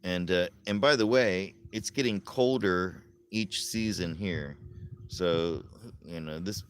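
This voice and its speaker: A man's voice: sits low, slow, smooth. A man from Florida hosting a casual live chat outdoors, rambling and chuckling as he talks with viewers. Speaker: livestream host. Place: outdoors at night.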